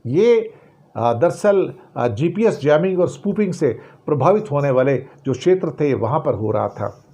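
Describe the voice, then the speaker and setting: Guy explaining the news, slightly high voice, emphatic, repeats his main points. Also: expressive, measured pace, warm tone. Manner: emphatic, rhetorical questions, repeats key phrases. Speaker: news presenter and commentator. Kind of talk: explanatory news commentary to the viewer.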